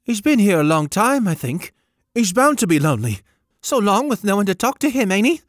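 A man's voice: high-pitched, very animated, brisk, no echo, studio quality, voice pushed up. A man playing a boy figure, earnest, pleading, ungrammatical, storybook voice. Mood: sulky. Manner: pleading, ungrammatical country speech. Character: young boy, lonely and earnest.

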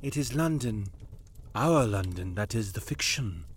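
A man voicing a grave, solemn madam in a spoken horror tale, speaking low, hushed and measured. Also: expressive, slightly rough.

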